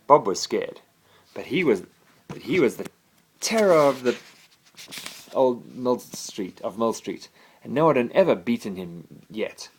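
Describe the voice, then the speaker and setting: A man's voice: medium pitch, very animated, unhurried, long pauses, husky, low-quality recording. A man reading a children's bedtime story expressively, as a father to his child.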